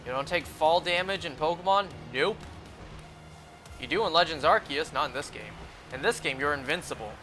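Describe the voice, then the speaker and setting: A man's voice: fairly high pitch, lively intonation, normal speed, music in the background. A young man with a North American accent chatting casually and in a rambling way while streaming a video game, speaking into a close mic.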